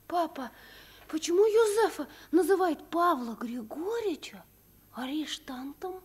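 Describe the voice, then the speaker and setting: An actress playing an eager, curious, excitable nine-year-old girl in a radio play. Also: extremely high pitch, a little slow. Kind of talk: Soviet radio play acting.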